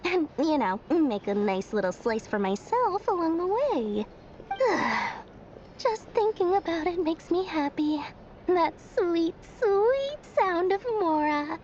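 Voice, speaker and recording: A woman's voice: very high voice, big pitch swings, a little mellow, highs cut off, slight background noise, full character voice. An actress voicing a brash, sassy young female tycoon in a video game, theatrical and money-loving but secretly kind-hearted. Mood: proud, gleeful. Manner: theatrical, sassy, quick to bluster.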